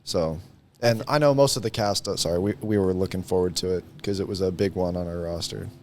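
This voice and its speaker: A young man in his late teens with a low-pitched voice. He chats casually as a guest. His manner is understated and a little hesitant. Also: fairly quick, a little mellow, a little hiss or hum. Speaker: high school senior guest. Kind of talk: casual chat.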